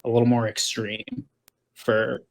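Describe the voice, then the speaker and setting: A man chatting casually in a loose, halting, slangy way on a distant, quiet recorded call. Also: mid-range voice, flat delivery, gravelly. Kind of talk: casual chat.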